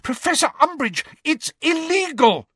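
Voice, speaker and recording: A man voicing an earnest, anguished teenage schoolgirl in a story, urgent, shrill and tearful. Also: measured pace, sharp tone, no room sound, studio quality, heavily acted. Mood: frantic pleading.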